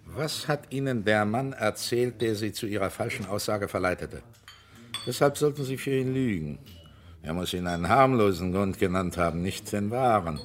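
Male actor as a stern investigator, low voice, deliberate, insistent, formal, radio crime drama. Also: expressive, close to an everyday voice. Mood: stern, pressing. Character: stern, persistent male investigator pressing a witness.

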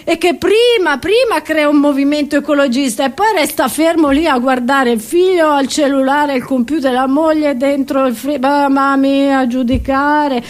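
Woman acting a high-pitched, indignant old grandmother. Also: natural voice. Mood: exasperated. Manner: rambling, stammering, exclaiming, repeating herself. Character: indignant, rambling old pensioner grandmother reminiscing about her youth. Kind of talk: theatrical comic monologue.

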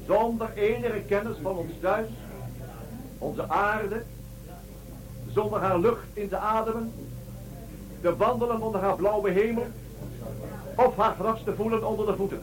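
A man's voice: high voice, measured pace, long pauses, a crowd in the background, rough recording. A man playing a solemn starship commander giving a formal, declamatory address in a science-fiction radio drama. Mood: solemn, resolute.

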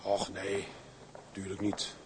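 An actor playing an earnest, bashful young sailor with a halting, deferential way of talking, in a drama. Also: male voice, low-pitched, monotone, noisy background. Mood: hesitant. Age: young adult. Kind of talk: broadcast drama acting.

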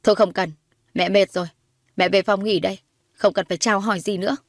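A woman's voice: mid-range voice, fairly even intonation, fast, no room sound, clean studio sound, close to an everyday voice. A woman voicing a haughty, scornful middle-aged mother who sneers and accuses in a dramatized audio story. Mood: irritated.

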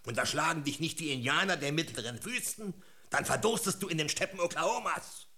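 A man's voice: a little high, fairly quick, sharp tone. A man playing a fervent, taunting frontier storyteller in a German radio drama, declaiming theatrically. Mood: fervent, ominous. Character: fervent male frontier storyteller luring listeners westward.

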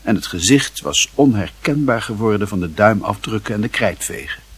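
A man narrating a literary radio play as a wry storyteller with a husky voice. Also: lowish voice, animated, normal speed. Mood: measured, wry.

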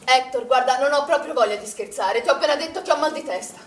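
An actress as a tense, bossy woman in charge, with a high voice. She gives orders briskly and insistently, in the manner of a stage play. The sound is echoey and distant. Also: natural voice. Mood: irritable, weary. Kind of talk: stage-style Italian drama acting. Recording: echoey, distant stage recording with faint noise.

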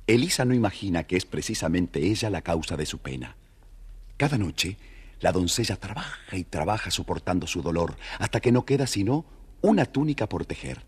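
A man narrating a scripted children's fairy tale as an expressive, dramatic storyteller. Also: deep voice, normal speed, slightly rough.

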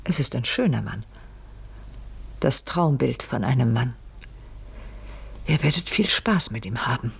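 A woman's voice: rumbling low, lively intonation, measured pace, creaky, long pauses, husky, exaggerated voice. An actress playing a scheming, commanding aristocratic lady in a radio play, speaking in a refined, theatrical and controlling manner. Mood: cool, commanding. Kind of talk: 1970s German radio play acting.

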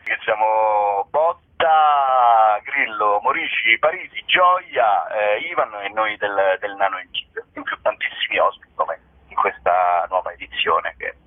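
A man's voice: on the low side, a little slow, no room sound. A man being interviewed as a comedy performer, chatting spontaneously in a hesitant, jokey, rambling way and often correcting himself. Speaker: comedy performer and show presenter, interview guest. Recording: narrow phone-like radio line.

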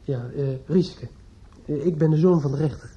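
An actor in a radio drama playing a despairing, obsessive judge's son who is outwardly polite and inwardly bitter. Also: male voice, a little high, lightly acted. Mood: hesitant, tense. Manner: halting and hesitant with others, brooding and repetitive when alone. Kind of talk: radio drama acting with interior monologue. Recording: dull lo-fi old radio play recording.

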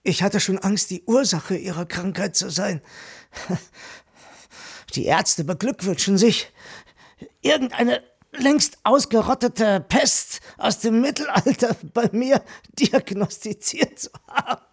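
Anxious husband, husky, unhurried, gullible, worried, radio drama. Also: male voice, sits high, heavily acted. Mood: worried, relieved.

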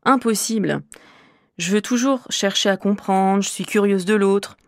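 Clear, measured Frenchwoman teacher. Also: mid-range voice, dry recording, clean studio sound. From Normandy, France. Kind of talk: scripted interview answers.

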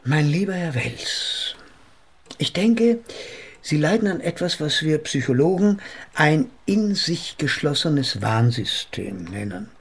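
Male psychotherapist for radio drama: creaky, husky voice, ponderously formal, politely sceptical, pedantic. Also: a little high, sing-song, takes it slowly, full character voice. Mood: patient, condescending. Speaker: actor in a radio play. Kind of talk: German radio drama dialogue and narration.

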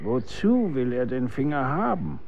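A middle-aged actor playing a stern, worldly executioner and father in a historical radio play, measured and admonishing in archaic speech. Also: medium pitch, big pitch swings. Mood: probing, shrewd. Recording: dull sound, faint noise.

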